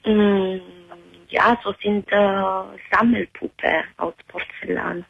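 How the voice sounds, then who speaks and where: A woman in her thirties from Italy with an Italian accent, a radio caller speaking hesitant, halting German with many fillers. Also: mid-range voice, steady tone, creaky, breathy edge. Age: 30s. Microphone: phone line. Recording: muffled phone line on radio.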